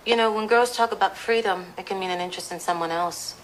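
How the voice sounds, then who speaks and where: Woman playing calm probing lady cop, mid pitch, bit of room noise, radio drama. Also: fast, close to an everyday voice, poor audio. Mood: composed. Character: composed, probing female police detective. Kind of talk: audio drama acting.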